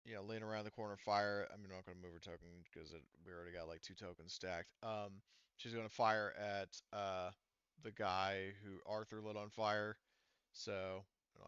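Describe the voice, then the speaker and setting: A man's voice: fairly low pitch, a bit bright, clean tone, no room sound. A man running a tabletop roleplaying game as game master, narrating in a casual, colloquial way. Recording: dull, lo-fi sound.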